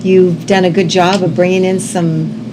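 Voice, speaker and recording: A woman's voice: lowish voice, little pitch movement, normal speed. A woman hosting a local TV talk show in a friendly, playful manner, asking her guest questions. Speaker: host. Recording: clear background noise.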